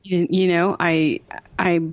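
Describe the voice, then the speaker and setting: A woman's voice: fairly low pitch, level tone, takes it slowly, no room sound, highs cut off. A woman guesting on a podcast, chatting casually and effusively, repeating herself for emphasis.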